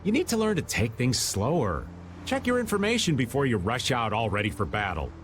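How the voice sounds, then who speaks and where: Roguish middle-aged man, swinging pitch actor's performance. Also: very animated, fairly quick, background noise, lightly acted. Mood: world-weary. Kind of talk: video game dub acting.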